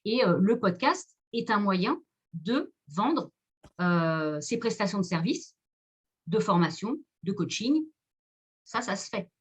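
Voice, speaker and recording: A woman explains things in a casual webinar style. Her delivery meanders, hesitating and doubling back on words as she goes. The pitch sits in an ordinary middle range for a woman. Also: sing-song, takes it slowly, husky. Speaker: marketing consultant and trainer speaking in a webinar.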